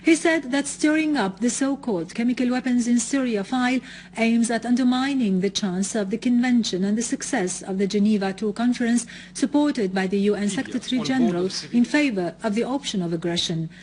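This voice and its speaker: A woman news presenter reading a scripted bulletin in a formal, measured way. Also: fairly high pitch, a little mellow, low-quality recording.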